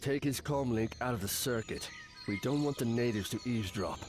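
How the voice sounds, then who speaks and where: Man acting brisk soldier over loud music. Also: medium pitch, lightly acted. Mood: terse. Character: brisk imperial soldier reporting in. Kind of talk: audio comic acting.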